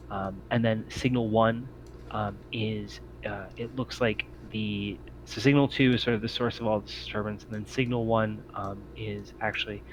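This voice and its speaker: A man narrates a tabletop roleplaying game as game master in a fairly low voice. He feels his way through descriptions haltingly, with frequent ums and pauses. There is some background noise on the recording. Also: rough recording. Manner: halting, hesitant delivery full of long ums and pauses.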